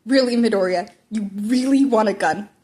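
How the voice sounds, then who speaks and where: A woman's voice: mid-range voice, moderate pace, warm tone, natural voice. Woman playing an exasperated, stern male teacher. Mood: incredulous, exasperated. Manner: incredulous, blunt questioning with bursts of protest. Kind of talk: character dialogue in a fan fiction reading.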